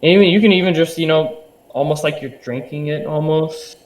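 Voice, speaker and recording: Young guy talking on a podcast, kinda high voice, stumbles and restarts a lot, gets hyped. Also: a little fast. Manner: hesitant, many fillers and restarts, eager. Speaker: podcast guest. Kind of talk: casual interview chat.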